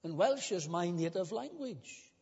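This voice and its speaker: A man's voice: sits high, animated, unhurried, darkish tone, husky, full character voice. An older male preacher voicing a matter-of-fact young Welsh girl in a story. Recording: dull recording in a reverberant hall.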